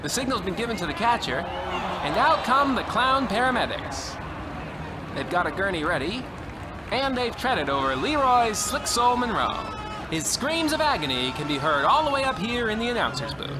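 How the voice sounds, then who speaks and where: High, swooping old-time baseball announcer played by a man. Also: sing-song, moderate pace, background noise. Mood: melodramatic, frantic. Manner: theatrical, swooping, exclamatory. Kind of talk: old-time radio sports commentary parody.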